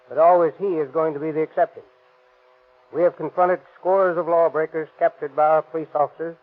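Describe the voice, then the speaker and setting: An American man speaks as a police officer in a scripted radio address. His somewhat high voice is formal, stern and declarative. The old 1930s recording is thin and tinny.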